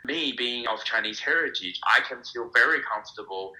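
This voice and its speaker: A man's voice: mid-range voice, expressive, normal speed, sharp tone, poor audio. A man explaining his views as an interviewee.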